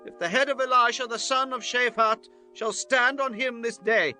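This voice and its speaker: Man acting a commanding, high-voiced ancient scripture leader. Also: moderate pace, bright, forceful tone, full character voice. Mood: stern. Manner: declamatory, formal. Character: commanding men of ancient scripture, prophet and kings. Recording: music bed under the voice.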